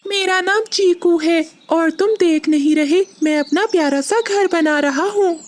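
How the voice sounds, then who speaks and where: A woman's voice: extremely high pitch, unhurried, pitched above the performer's own voice. A woman voicing a small, plucky, indignant male parrot in a children's cartoon. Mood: proud.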